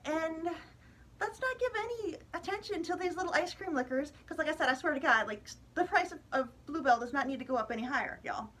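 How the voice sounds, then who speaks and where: High, bright-voiced American woman vlogger. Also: expressive, fairly quick. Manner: chatty, emphatic, exasperated asides. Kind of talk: casual opinion monologue to viewers.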